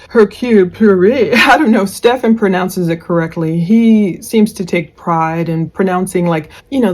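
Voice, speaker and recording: A woman hosting a true crime show, giving conversational commentary, acting out quotes and adding sardonic asides. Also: mid-range voice. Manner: animated when quoting, sardonic asides.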